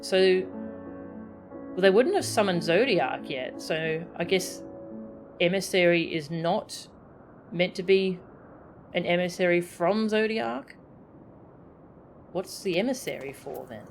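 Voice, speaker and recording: A woman's voice: on the low side, slow pace, pauses often. Woman streaming a game over music. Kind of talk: casual game commentary, reading dialogue aloud. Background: video game music.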